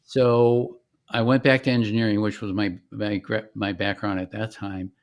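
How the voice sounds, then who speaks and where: A man's voice: fairly low pitch, little pitch movement, moderate pace. A middle-aged man, a company founder, chatting casually as an interview guest and explaining things in a plain-spoken, informal way.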